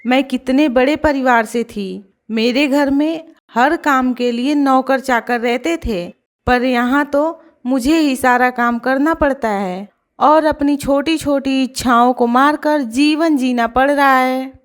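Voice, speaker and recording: A nagging, discontented wife from a cartoon tale. Her voice sits high and she takes her time, pressing each complaint with emphasis. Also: takes it slowly. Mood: bitter complaint. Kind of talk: cartoon story dialogue.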